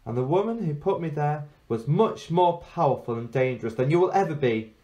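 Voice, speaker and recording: A man reading a storybook, voicing a resolute, unafraid young queen in a firm, measured manner. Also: on the high side, expressive, dark tone, voice pushed up, close to an everyday voice. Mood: scornful. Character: resolute young fairy-tale queen, cool, defiant, unafraid.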